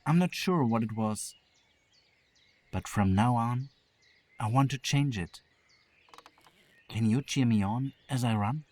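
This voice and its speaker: A man playing a gentle young man in an audio drama, speaking softly and quietly. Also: mid-range voice, slow pace, pauses often, no room sound.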